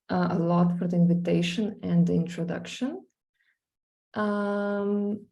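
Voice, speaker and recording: A young Slovenian woman talks slowly through her project on a video call, pausing and restarting often. Her voice is gravelly with fry, dark and mellow in tone, and sits a little low for a woman. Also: rough recording. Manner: hesitant, many fillers and restarts. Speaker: visual artist presenting her work. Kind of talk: online screen-share presentation.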